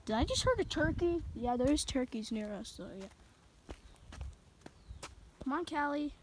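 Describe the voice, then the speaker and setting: A woman's voice: high voice, lively intonation, measured pace. A young girl chattily giving a tour of her home and yard, filming on a handheld phone. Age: child. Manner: chatty, rambling. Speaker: channel host. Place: house and yard, indoors and outdoors. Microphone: handheld phone camera. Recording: noisy phone recording.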